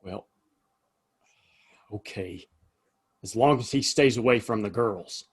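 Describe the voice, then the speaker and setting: A man's voice: lowish voice, slow pace, slightly rough. A man playing a decent, apologetic saloon bartender in an Old West audio drama, speaking earnestly with small stammers. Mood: resigned, pleading. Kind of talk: Western audio drama acting.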